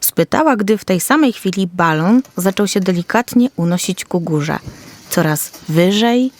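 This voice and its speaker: A woman's voice: lowish voice, lively intonation, measured pace, a little mellow. A woman storyteller reading a scripted fairy tale for children.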